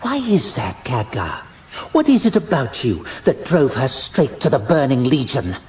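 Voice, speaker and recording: A man's voice: mid-range voice, big pitch swings, a little slow, darkish tone, slight background noise. A male narrator voicing a stern, cold female elf warden who speaks in clipped, accusing lines. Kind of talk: audiobook character voice.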